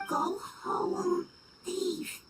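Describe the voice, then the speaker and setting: An actor voicing a small, gentle fungus creature in a game, talking in halting broken phrases. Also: very high voice, flat delivery, recorded from a distance, noisy background, full character voice. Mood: worried. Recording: game audio with loud music.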